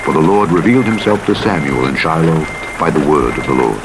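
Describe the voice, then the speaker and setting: A man narrating the Bible in a solemn, measured storytelling style, acting out the dialogue as he reads. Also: vocal fry. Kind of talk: scripted scripture reading with dramatized dialogue. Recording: rumbling truck and rain noise over playback. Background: vehicle rumble, rain, simulator truck sounds.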